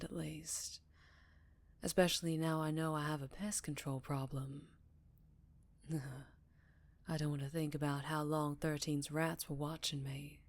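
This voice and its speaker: A woman playing a gentle, melancholy female vampire in an intimate ASMR roleplay, speaking softly in a Southern US accent, often near a whisper, with tender pauses and sighs. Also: sits low, full character voice. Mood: uneasy, hushed. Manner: slow, hushed, affectionate, with pauses and sighs.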